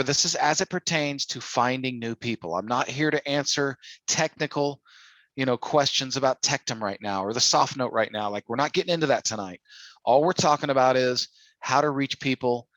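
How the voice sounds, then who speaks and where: A man's voice: mid-range voice, a bit bright, no room sound, low-quality recording. A man hosting an informal sales training call, talking persuasively and using plenty of rhetorical questions. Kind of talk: informal sales coaching talk.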